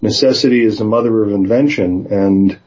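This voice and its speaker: Man, low-pitched, dark mellow tone, halting conversational delivery, lo-fi muffled recording. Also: fairly even intonation. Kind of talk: casual conversation with some read-aloud passages.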